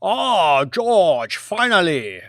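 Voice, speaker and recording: A man's voice: high voice, big pitch swings, extremely slow, fairly bright tone, no echo, studio quality, voice pushed up, exaggerated voice. A man voicing a patronising aristocratic British lord in an audiobook, exclamatory and falsely jovial. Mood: hearty greeting.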